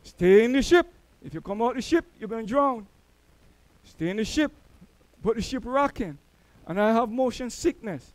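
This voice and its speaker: A middle-aged man from Jamaica with a Jamaican accent, preaching a sermon as a church elder and telling stories that swell louder on key words. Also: very high voice, lively intonation, moderate pace, long pauses, no room sound, rough recording.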